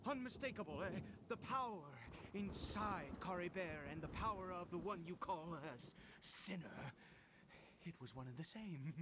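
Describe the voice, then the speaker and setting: An actor playing a deranged, fanatical noble cult founder in a video game, grandiose and gloating in manner. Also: male voice, high-pitched, expressive, moderate pace, noisy background. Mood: fervent, exultant. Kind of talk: dramatic video game voice acting.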